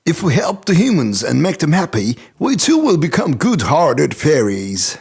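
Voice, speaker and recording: An excited, kindly elf from a children's cartoon storybook, acted by a man, bouncing with very animated swoops. The voice is husky and a bit high, eager throughout. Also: moderate pace, heavily acted. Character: eager young male elf, excited and kind.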